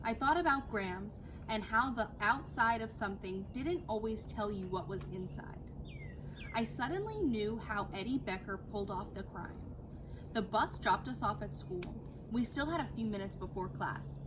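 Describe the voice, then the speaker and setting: A woman teacher speaking and reading aloud to children in an animated, affectionate, expressive way. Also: mid-range voice, moderate pace. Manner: animated, addressing young listeners affectionately. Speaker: teacher reading a story to pupils. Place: outdoors in a backyard. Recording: clear background noise, outdoor ambience.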